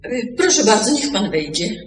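A woman's voice: mid-range voice, very animated, moderate pace, natural voice. A woman acting in a radio play as a courteous, gracious hostess, polite and formal with her visitor. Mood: welcoming, courteous. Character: courteous, gracious lady hostess receiving a long-sought visitor. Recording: noisy 1970s radio recording.

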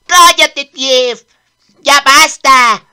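A mischievous young demon prince from a cartoon dub, acted by a woman. The voice is high and bright, with an unhurried pace. He is brash, excitable and used to giving orders. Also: heavily acted. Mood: annoyed. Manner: brash, commanding. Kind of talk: amateur manga dub acting.

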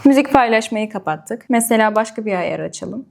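A woman's voice: on the high side, animated, a little fast, creaky, darkish tone. A woman presenting a product review and unboxing, explaining step by step and addressing viewers directly.

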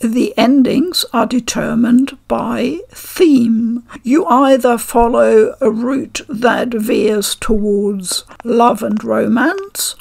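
An older woman reviewing a game from her living room at a desk mic, measured and articulate with a dry, wry humor. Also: a little high, gravelly, a little mellow. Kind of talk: unboxing and review talk. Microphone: desk microphone.

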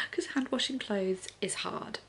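A woman in her thirties talks casually to a camera held at arm's length, her voice soft and often half-whispered. She sounds a little congested, with a gravelly edge at mid pitch. She sits in a living room, with faint noise behind her. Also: slow. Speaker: vlogger. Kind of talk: casual morning chat to camera. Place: living room sofa indoors.

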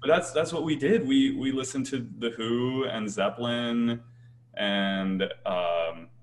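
A man's voice: medium pitch, slow pace, slight background noise. A young man with a light voice, a choir singer talking casually and animatedly on a video call from a small room, often restarting his sentences and laughing. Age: young adult. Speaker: choir bass singer, guest. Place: small bright room at home.

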